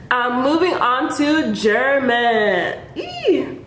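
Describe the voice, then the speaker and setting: A woman's voice: sits high, wildly swooping pitch, drawn-out words, fairly bright tone, a little hiss or hum. A young woman from Nigeria chatting playfully to her viewers in a casual vlog, with laughs between remarks. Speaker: vlogger. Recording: echoey room.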